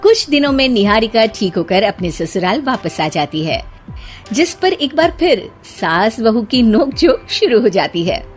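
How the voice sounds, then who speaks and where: A woman narrating a scripted cartoon family story in an expressive storytelling manner. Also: on the high side, measured pace, faint music behind.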